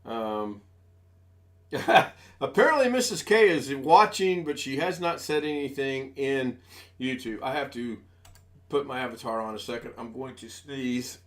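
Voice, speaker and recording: A man's voice: medium pitch, unhurried. A man in his sixties hosting a casual live stream from home on a desk microphone, chatting in a rambling, folksy, self-deprecating way. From United States. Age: 60s. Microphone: desk USB microphone.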